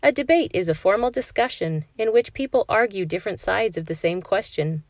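A woman reading a children's picture book aloud in a clear, steady storytelling voice for a classroom. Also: medium pitch, a little fast, no room sound, studio quality. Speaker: read-aloud narrator.

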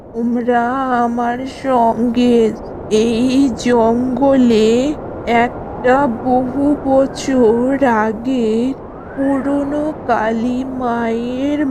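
Slow-talking kindly old granny voiced by a woman. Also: on the high side, background noise. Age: older. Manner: slow, deliberate, reassuring. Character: kindly old village granny in a storm-swept forest. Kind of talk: Bengali cartoon voice acting. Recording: music behind the voice.

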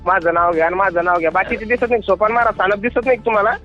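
A man's voice: high voice, fairly even intonation, rapid-fire. A man arguing insistently and repetitively on a phone call. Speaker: kirtankar, devotional performer. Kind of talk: heated argument on a phone call. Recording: dull phone call recording with music.

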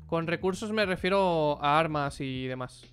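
A man's voice: a little high, normal speed, fairly bright tone, clear, resonant tone, soft background music. A young man with a Spanish accent from Spain, giving blunt, informal live-stream commentary on a headset and answering chat questions. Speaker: streamer reviewing a player's matches.

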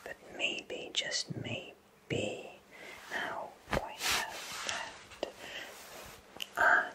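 Guy doing a friendly magic potion shop keeper, asmr roleplay, all soft whispering, really slow and soothing. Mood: confiding, gentle. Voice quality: breathy, unvoiced whisper. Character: kindly fantasy potion shopkeeper, gentle salesman of positivity.

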